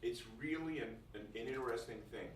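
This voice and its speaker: A man's voice: mid-range voice, a little fast. A man giving an informal, jokey first-day talk to music students as their teacher, often repeating phrases for emphasis. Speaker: music school teacher addressing new students. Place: indoor classroom. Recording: roomy, distant mic, faint noise.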